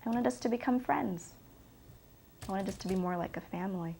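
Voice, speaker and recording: A woman's voice: medium pitch, brisk, creaky, dark tone, slight background noise, lightly acted. Candid girlfriend played by a woman, self-assured, frank, confiding delivery for an interview-style drama. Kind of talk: dramatised documentary interview monologue.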